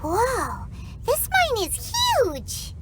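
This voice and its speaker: A woman voicing a small, childlike, curious and excitable companion creature with a squeaky voice in a video game. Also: extremely slow, noisy background, exaggerated voice. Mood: excited, curious. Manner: exclamatory, wondering aloud. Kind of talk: video game cutscene acting. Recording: loud game music behind.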